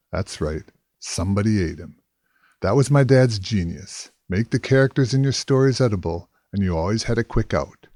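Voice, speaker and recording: A man's voice: fairly low pitch, a little mellow, no room sound, clean studio sound. A man narrating a scripted first-person story. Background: music.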